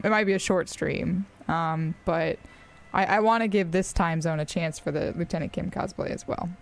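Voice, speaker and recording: A woman's voice: lowish voice, vocal fry. Chatty young woman with an American accent. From Australia. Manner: chatty and rambling, with frequent fillers and laughs. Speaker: streamer. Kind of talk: casual live-stream chat.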